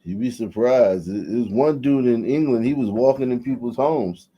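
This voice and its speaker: A man's voice: medium pitch, normal speed, mellow, breathy edge, highs cut off. A man giving blunt, opinionated commentary in a casual chat, often restarting his sentences.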